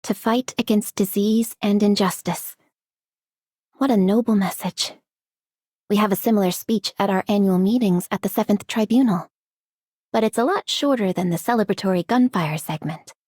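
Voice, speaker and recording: A woman's voice: mid-range voice, moderate pace, pauses often, dark tone, breathy edge, dry recording, studio quality, close to an everyday voice. A woman voicing a composed, dignified young game heroine. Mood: composed, dry. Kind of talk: game character voice acting.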